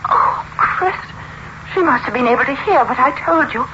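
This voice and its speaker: A woman's voice: sits high, expressive, moderate pace. An actress playing a terrified, haunted woman in an old radio drama, pleading in a breathless, melodramatic way. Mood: frantic pleading. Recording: noisy, muffled old radio recording.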